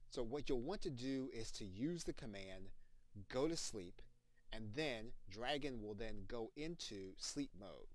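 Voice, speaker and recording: Low-voiced man narrating a tutorial. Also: dry recording, rough recording. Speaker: software tutorial instructor. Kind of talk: instructional screencast narration.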